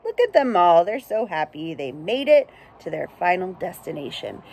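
A young adult woman reading a picture book aloud to children outdoors, with expressive, chatty asides and simple questions for young listeners. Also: on the low side, normal speed, creaky, slight background noise. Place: outdoors under a shelter among pine trees.

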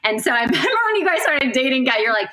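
A woman's voice: high voice, lively intonation, rapid-fire, bright, forceful tone. A woman guest on a podcast, talking animatedly in casual conversation and laughing easily. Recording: echoey room sound.

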